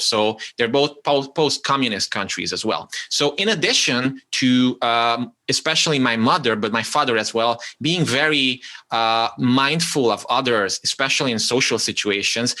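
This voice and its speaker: A man from Romania whose first language is Hungarian talks as a guest on a podcast. His voice is bright and clear, and he often halts, repeats words and restarts mid-sentence as he gathers his thoughts. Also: mid-range voice. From Romania (Transylvania). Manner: halting, many restarts and fillers. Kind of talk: casual interview talk.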